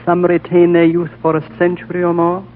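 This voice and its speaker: An ancient, serene High Lama speaks in measured, gentle tones, as an actor brings him to life in a vintage radio play. His voice sits a little high for a man. It all comes through a thin, tinny old broadcast with a faint hiss. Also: completely flat delivery, natural voice. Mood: solemn. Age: older. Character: ancient male High Lama, calm and wise. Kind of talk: 1930s radio drama acting.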